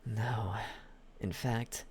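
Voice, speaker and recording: Man acting a slow, possessive demon butler. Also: on the low side, fairly even intonation, a little mellow, breathy edge, heavily acted. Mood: insistent, coaxing. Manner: slow, halting, coaxing delivery with lingering pauses. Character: possessive, lovesick yandere demon butler, coaxing and menacing. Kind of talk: ASMR roleplay, intimate and close.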